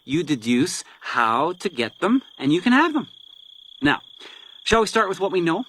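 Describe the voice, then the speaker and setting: A man's voice: a little high, very animated, moderate pace, fairly bright tone, poor audio. An actor playing a sadistic, theatrically playful male villain in a radio crime drama. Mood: gleeful taunting. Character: sadistic, taunting male villain who plays deadly games.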